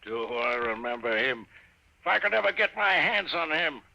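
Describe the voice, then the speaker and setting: A gruff old railroad detective with a creaky, gravelly voice and a hard, forceful edge. He is blunt, grumbling and vengeful, in 1950s radio drama style. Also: mid-range voice, sing-song, moderate pace, full character voice. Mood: vengeful resolve. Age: older. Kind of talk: 1950s radio comedy-horror acting.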